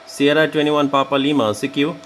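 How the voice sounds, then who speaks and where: A man's voice: fairly high pitch, flat delivery, extremely slow. A man with a Bangladeshi accent, an amateur radio operator making radio calls and explaining casually. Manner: spells call signs in phonetic alphabet. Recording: very noisy, radio static and clicks.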